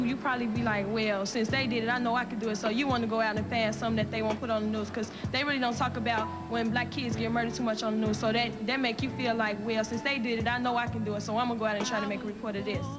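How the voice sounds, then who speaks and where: A young woman with a fairly high voice talks quickly and casually in an interview. Loud music runs behind her. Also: little pitch movement. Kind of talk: casual vox-pop interview. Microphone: handheld microphone.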